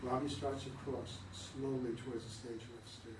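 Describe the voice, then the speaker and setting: A man's voice: mid-range voice, fairly even intonation, moderate pace. Man reading stage directions from afar. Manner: plain, matter-of-fact. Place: stage in a theatre. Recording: roomy, distant, faint noise.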